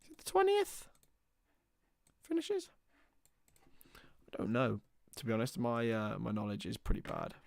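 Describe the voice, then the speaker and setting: A man's voice: mid-range voice, wildly swooping pitch, unhurried, gravelly, pauses often, no room sound. A young man chatting with viewers and calling a football match live on a watchalong stream. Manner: chatty, jumps between match calls and banter with chat. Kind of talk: casual live commentary and chat with viewers.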